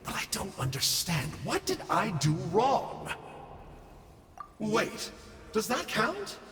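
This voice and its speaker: Smug, theatrical villain scholar, echoing. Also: male voice, sits high, wildly swooping pitch, slow, fairly bright tone, a little hiss or hum, full character voice. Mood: mock lamenting. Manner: teasing, mock-dramatic drawl. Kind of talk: video game voice acting.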